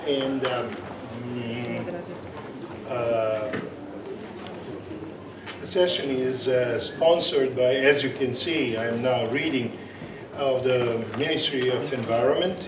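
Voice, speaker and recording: Serbian-accented man chairing in an echoey hall. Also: mid-range voice. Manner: halting, many fillers and restarts. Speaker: session chair and conference speaker. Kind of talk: conference presentation. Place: conference hall. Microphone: portable microphone. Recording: echoey hall, from far off, noisy.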